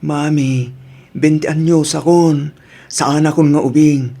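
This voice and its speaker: An earnest, husky-voiced family man. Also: fairly high pitch, fairly even intonation, slow, dark tone. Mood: pleading. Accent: Ilocano. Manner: earnest, emotional. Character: earnest family man, by turns pleading son and father. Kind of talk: Ilocano radio drama acting.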